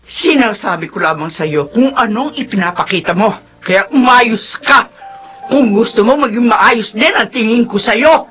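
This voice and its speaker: An actress playing a stern, suspicious middle-aged Filipino mother in a radio drama, with a raspy voice and sharp, emphatic scolding. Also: a little high, animated, moderate pace, soft background music. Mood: accusing.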